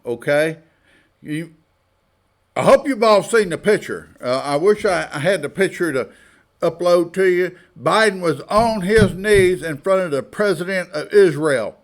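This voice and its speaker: A man giving informal, opinionated news commentary in a blunt, folksy, indignant manner, often throwing out rhetorical questions. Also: high-pitched. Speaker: online commentator.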